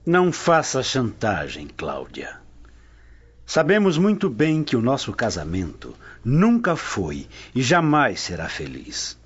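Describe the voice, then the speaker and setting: An actor playing a cold, evasive Roman prefect and unfaithful husband in a radio drama, stern and haughty. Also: male voice, medium pitch, huge pitch swings, unhurried, breathy edge, exaggerated voice. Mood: cold, scornful. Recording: dull lo-fi radio drama recording.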